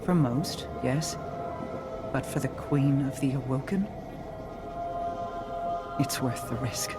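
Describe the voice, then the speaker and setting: An imperious queen in a dramatic game cutscene. She speaks in a measured, formal, commanding way, cold and resolute, with clear music playing behind her. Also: a bass voice.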